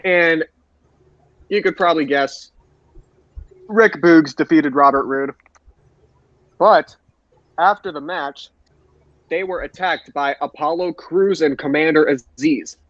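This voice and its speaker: A man's voice: a little high, slow pace, long pauses, fairly bright tone, no echo. A man co-hosting a podcast, giving an informal recap on a lo-fi recording. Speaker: podcast co-host. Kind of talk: informal recap of wrestling show.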